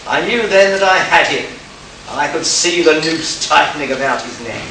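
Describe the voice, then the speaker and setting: A man's voice: on the high side, expressive, normal speed, bright, forceful tone. Man acting a smug detective in an echoey hall. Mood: smug, triumphant. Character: hard-boiled, smug male space detective exposing a murderer. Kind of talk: amateur live radio play acting. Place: live convention stage, indoors. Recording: distant, echoey, noisy hall recording.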